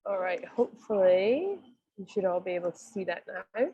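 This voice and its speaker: A woman's voice: mid-range voice, animated, normal speed, mellow, breathy edge, dry recording, lo-fi sound. A young woman with a Scottish accent giving a chatty presentation on a video call from a cafe, with plenty of ums and restarts. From Scotland. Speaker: guest speaker, senior advisor.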